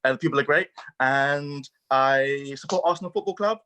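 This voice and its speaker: A man's voice: mid-range voice, moderate pace, sharp tone, clean tone. A young man from South Africa whose first language is not English, a cheerful drama student.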